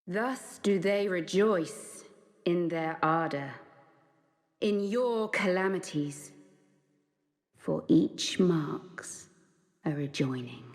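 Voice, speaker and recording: A woman's voice: lowish voice, animated, darkish tone, full character voice. A woman playing an ancient goddess of light in a fantasy game, solemn, maternal and sorrowful, speaking in grave archaic phrases. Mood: ominous, grave. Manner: grave, archaic, measured phrasing with weighty pauses. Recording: long cavernous reverb.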